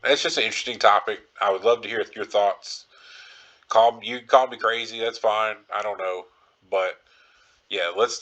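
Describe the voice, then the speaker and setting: A man's voice: medium pitch, fairly bright tone, highs cut off. A man hosting a podcast solo, talking casually in a rambling, musing, speculative way. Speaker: podcast host.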